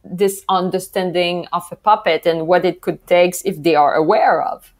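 A woman's voice: on the low side, steady tone, normal speed. A woman in her thirties hosting a casual interview, smiling and enthusiastic as she talks. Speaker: podcast host. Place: indoors, video call. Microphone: wired earphone mic.

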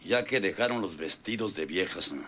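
An actor playing a bossy, scolding ranch patron in a radio serial. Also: male voice, sits low, expressive, normal speed, fairly bright tone. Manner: scolding, rustic, commanding. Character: gruff, bossy ranch patron with a broken leg, scolding his men. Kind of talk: old radio serial acting. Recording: noisy old radio serial recording.

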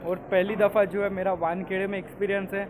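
A young man vlogging in excited casual from the stands of a cricket stadium, mixing in English phrases, with his phone held at arm's length. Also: high-pitched, quick pace. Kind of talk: casual vlog commentary. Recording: phone audio with loud crowd noise. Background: cheering crowd and music.

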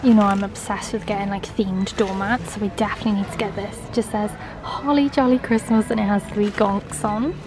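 A woman in her twenties vlogging while shopping, excitable and giggly, filmed on a handheld camera in an indoor store. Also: mid-range voice, fairly quick, hardly any pauses. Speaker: lifestyle vlogger. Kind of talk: casual vlog chat. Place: indoor shop. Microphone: handheld camera at arm's length.

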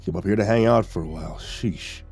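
A man voicing a gruff, slangy hitman in an audiobook, growling and muttering his lines like a lazy sports junkie. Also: low voice, brisk, darkish tone, faint music behind, heavily acted. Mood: nonchalant, needling. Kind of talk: audiobook character voice.

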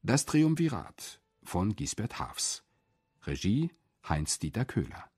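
A man with a husky voice reading a scripted radio play announcement. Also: on the low side, big pitch swings, slow, a little mellow, no echo, studio quality.

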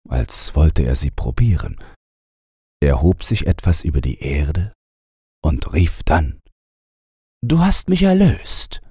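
Male bedtime narrator: extremely low, slow, husky voice reading fairy tales. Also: dark tone, dry recording, studio quality. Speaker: audiobook narrator.

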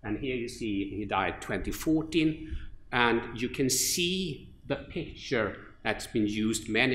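Swedish-accented man lecturing in an echoey hall. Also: on the low side. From Sweden. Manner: relaxed, many fillers and restarts. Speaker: orthopedic surgeon and researcher. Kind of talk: conference lecture. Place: large lecture hall with an audience. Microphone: podium microphone.

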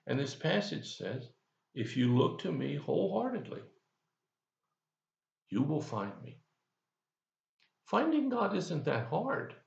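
Older man, gravelly folksy devotional. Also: on the high side, big pitch swings, takes it slowly, long pauses, echoey room, muffled recording. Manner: folksy, conversational, asks the listener questions. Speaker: pastor. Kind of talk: devotional sermon. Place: office, indoors, seated in leather chair.

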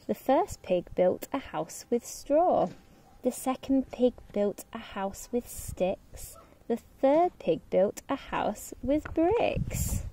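A woman teacher reading a picture book to young children, expressive, with casual asides. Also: mid-range voice, slight background noise. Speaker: teacher and story reader. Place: outdoors on a grassy lawn.

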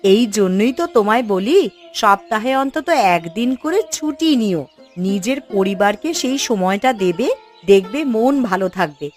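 A woman voicing a caring, gently scolding village housewife in a cartoon, coaxing and homely. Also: mid-range voice, expressive, moderate pace, faint music behind, lightly acted. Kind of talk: Bengali cartoon story dialogue.

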